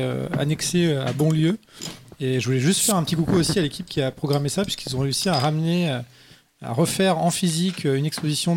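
A man hosting a podcast, giving a casual spoken report in halting, hesitant phrases. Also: fairly high pitch, a little mellow, slight background noise. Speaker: podcast host, festival reporter.

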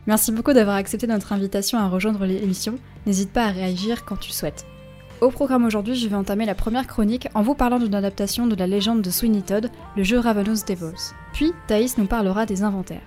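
Mellow-voiced woman hosting a radio show. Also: mid-range voice, steady tone, fairly quick, soft background music. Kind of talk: scripted radio chronicle reading. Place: radio studio.

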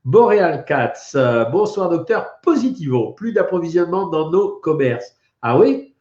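French doctor with a head cold, chatty. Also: male voice, fairly high pitch, sing-song, unhurried, a little mellow. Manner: chatty and explanatory, many hesitations. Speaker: doctor hosting a weight-loss live stream. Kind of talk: casual live stream talk answering viewer questions. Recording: roomy, dull lo-fi stream audio.

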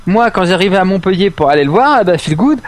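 Male panelist, raised pitch, skeptical and jokey, light hum of noise behind. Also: animated, fast. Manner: incredulous, jokey questioning. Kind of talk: casual podcast banter.

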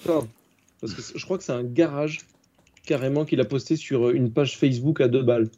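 A man's voice: mid-range voice, a little fast, muffled recording. A man co-hosting a casual stream, joking and commenting on photos. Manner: joking, animated. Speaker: co-host.

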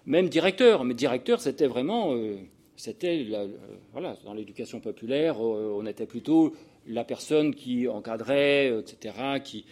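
A man in his sixties from the Paris region speaks as a sociologist to an audience of professionals. His voice sits at an average male pitch. He talks informally and haltingly, interrupting himself, repeating words and drifting into personal asides. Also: animated, a little mellow. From Paris region, France. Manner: hesitant, digressive delivery with self-interruptions and repeated words. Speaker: sociologist giving a talk to social work professionals. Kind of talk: informal lecture with personal anecdotes.

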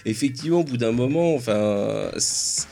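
A man talks over soft background music in a casual podcast debate. His pitch is average, and he argues haltingly, repeating phrases as he goes. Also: lively intonation, creaky. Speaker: podcast panellist. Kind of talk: casual opinionated discussion. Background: faint music.

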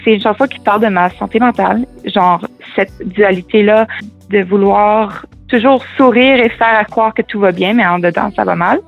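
A woman's voice: mid-range voice, normal speed, gravelly. A young woman from Saskatchewan with a Fransaskois French accent, a singer-songwriter answering interview questions earnestly and thoughtfully, slipping in English words. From Saskatchewan, Canada. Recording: tinny phone-like line, faint music.

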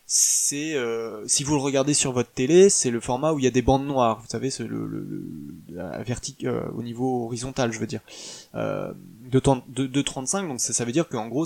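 A man's voice: medium pitch, gravelly. A man giving a casual, hesitant film review, full of fillers and restarts.

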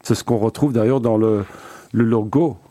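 A man's voice: on the low side, moderate pace, warm tone. A man in his seventies, born in Belgium, answering interview questions about his career at an easy pace, with many hesitations and restarts. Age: 70s. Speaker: company founder guest. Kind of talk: relaxed interview talk.

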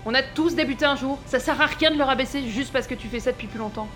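A strict, no-nonsense gang woman played by an actress with a high voice. She is blunt and scolding yet protective, with light music behind her in an audio drama. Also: fast talker, a bit bright. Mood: exasperated. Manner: blunt, reproachful. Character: strict, blunt, protective tough female ex-soldier robber. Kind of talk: scripted audio drama dialogue.

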